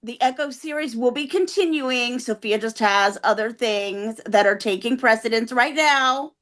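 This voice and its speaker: A woman's voice: on the high side, moderate pace, fairly bright tone. A woman guest co-host in a casual podcast chat, gushing as an enthusiastic fan.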